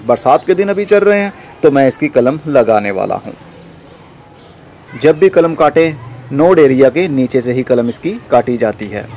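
A man's voice: on the high side, darkish tone. Man giving a gardening tutorial, outdoor noise. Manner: explanatory, instructing the viewer step by step. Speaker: gardening channel host. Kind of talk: informal how-to gardening tutorial narration.

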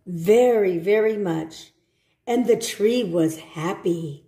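A woman reading a children's storybook aloud, with simple, deliberate phrasing and short asides for young listeners. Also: mid-range voice, mellow, reverberant. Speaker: storybook reader. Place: indoor room at home.

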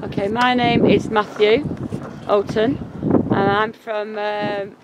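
Woman sign interpreter, first-person voice-over, plain steady delivery, loud noise at an outdoor pitch. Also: slow pace. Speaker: sign language interpreter voicing deaf players and coach.

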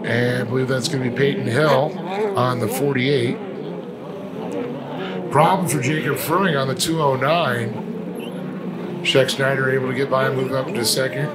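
A man's voice: mid-range voice, fairly even intonation, measured pace, long pauses. A man giving live watercraft race commentary as an announcer, in colloquial, folksy racing slang. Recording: loud engine noise behind voice. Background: loud watercraft engines outdoors.

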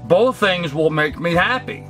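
An older man talking earnestly to the camera from a cluttered workshop, reflective and halting with many ums and restarts. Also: sits high, expressive, fairly bright tone, soft background music. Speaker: vlogger. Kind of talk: casual talk to camera. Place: cluttered indoor workshop room.

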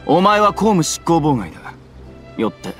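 A man's voice: on the high side, very animated, normal speed. An actor playing a stern male government officer in a game, with a formal, commanding way of talking. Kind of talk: game cutscene voice acting. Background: soft game music.

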